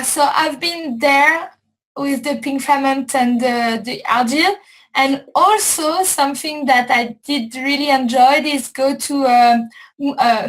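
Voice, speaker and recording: A woman hosting a casual show, talking slowly and repeating herself as she searches for words. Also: high voice, a bit bright, rough recording. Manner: slow, repetitive, searching for words. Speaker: host. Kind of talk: casual chat.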